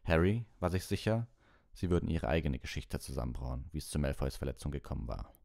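A man with a gravelly, very deep voice reading a story as an audiobook narrator. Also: fairly quick, darkish tone, dry recording, clean studio sound.

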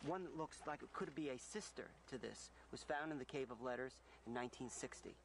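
A man's voice: medium pitch, brisk, gravelly. A man, an archaeologist, explaining his finds on location with enthusiasm, thinking aloud and restarting his sentences.

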